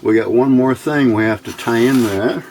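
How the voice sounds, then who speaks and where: A man's voice: mid-range voice, normal speed, noisy background. A man giving an informal hands-on electronics tutorial, thinking aloud as he works. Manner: unscripted, thinking aloud while working. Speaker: electronics hobbyist instructor.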